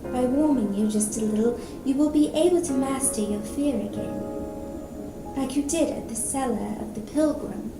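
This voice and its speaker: A woman reading a fantasy novel aloud as a caring, firm young woman, gentle and coaxing. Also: high-pitched, moderate pace, far from the mic. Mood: gentle, reassuring. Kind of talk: audiobook-style novel reading. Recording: roomy echo, music behind.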